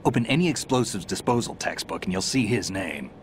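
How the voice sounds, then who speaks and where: An actor playing a gruff male soldier, calm, curt and commanding. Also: fairly low pitch, fairly quick, slight background noise.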